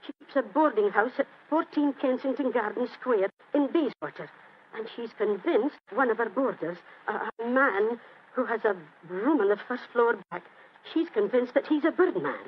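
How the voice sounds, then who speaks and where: A woman's voice: fairly high pitch, lively intonation, moderate pace, a little hiss or hum, lightly acted. An actress playing a chatty, deferential old British landlady, apologetic and a little flustered, in a 1940s radio drama. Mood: flustered, earnest. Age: older. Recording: muffled old-time radio broadcast.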